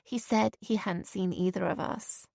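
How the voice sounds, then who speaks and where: A subdued mother speaking softly and slowly, weighed down with guilt. A woman reads her in a close, dry audiobook voice. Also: mid-range voice, fairly even intonation, creaky, no echo. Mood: guilty. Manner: quiet, subdued, apologetic. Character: soft-spoken guilt-ridden adult woman, mother.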